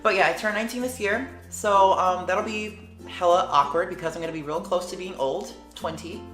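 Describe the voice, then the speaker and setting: A woman in her late teens chatting casually as a vlogger, lively and slangy, jumping between tangents. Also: lowish voice, fairly quick, roomy sound, faint music behind. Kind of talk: casual chat answering tag questions.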